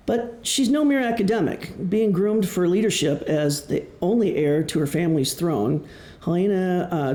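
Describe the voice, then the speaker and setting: A man from Wisconsin with a Midwestern American accent giving an explanatory public talk as an author, with deliberate pauses. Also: high-pitched, darkish tone. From Wisconsin, United States. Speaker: author presenting his novel. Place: indoor presentation room. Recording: echoey room.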